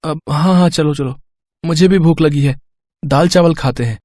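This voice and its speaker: A man's voice: fairly high pitch, moderate pace, a little mellow, dry recording, close to an everyday voice. A man playing a naive, earnest young village student in a moral story, simple and hesitant in manner. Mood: timid. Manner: simple, wide-eyed, hesitant.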